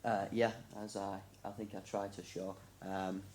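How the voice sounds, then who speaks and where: A young man answers informally on a student panel. His voice is low and barely varies in pitch. Faint noise sits behind him. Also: flat delivery, measured pace, a little mellow. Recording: clear background noise.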